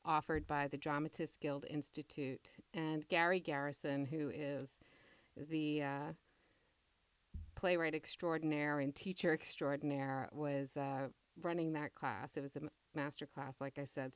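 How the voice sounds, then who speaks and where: Middle-aged woman playwright in interview: deep, smooth voice, measured and reflective, dry close sound. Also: steady tone, dry recording.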